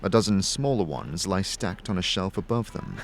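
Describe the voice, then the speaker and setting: A man narrates a fantasy audio drama in a low voice. His delivery is scripted and theatrical, with very lively pitch movement, over faint music. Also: moderate pace, creaky.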